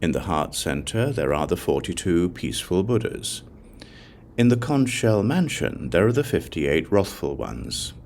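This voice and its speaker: A man narrating a Buddhist scripture as an audiobook, solemn and deliberate, in short measured phrases. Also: low-pitched, very animated, darkish tone, a little hiss or hum.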